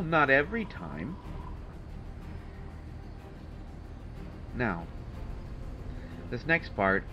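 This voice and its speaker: A man playing an exasperated, haughty supervillain who grudgingly helps his hero, talking haltingly and with dry sarcasm in a roleplay audio drama. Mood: teasing, sarcastic. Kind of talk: roleplay audio drama, one side of a dialogue. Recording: dull lo-fi audio, loud ambient rumble.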